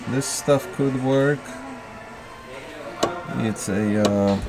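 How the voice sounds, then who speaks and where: A man's voice: medium pitch, very slow, over music. A man in his forties chatting casually to camera for a daily vlog, explaining his project as he goes, often restarting sentences. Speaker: vlogger. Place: indoors, garage workshop and stores.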